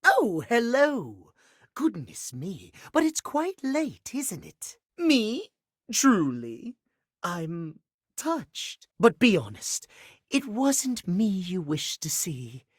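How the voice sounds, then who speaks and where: A man voicing a fussy, genteel clock gentleman in a video game, with prim, formal, old-fashioned diction. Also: high-pitched, huge pitch swings, extremely slow, husky, no room sound, clean studio sound, full character voice. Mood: polite, tender. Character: fussy, genteel, punctual clock gentleman doting on pocket watches. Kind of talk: video game character acting.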